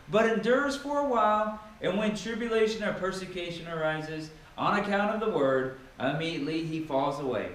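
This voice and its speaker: In a heavily reverberant room with a faint noise floor, a man reads scripture aloud. His fairly high voice swings widely in pitch, and he delivers the verses theatrically, with emphatic pauses. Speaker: Bible reader. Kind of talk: dramatic scripture reading with informal asides.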